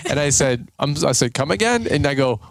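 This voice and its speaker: A man's voice: mid-range voice, very animated, fairly quick, creaky, no room sound. American male podcast host, close and dry. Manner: rambling, jokey storytelling. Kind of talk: casual, joking chat. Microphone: close podcast microphone.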